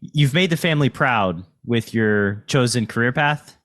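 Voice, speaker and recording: A man hosting a casual interview, asking questions in a halting, dry way. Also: mid-range voice, a bit bright. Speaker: podcast host, interviewer.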